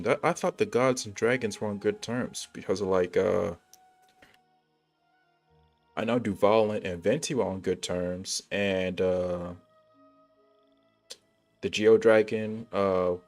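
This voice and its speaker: A man's voice: fairly low pitch, unhurried, long pauses, soft background music. A man giving casual live commentary as a game streamer, hesitant and repeating words as he thinks aloud. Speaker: game livestreamer.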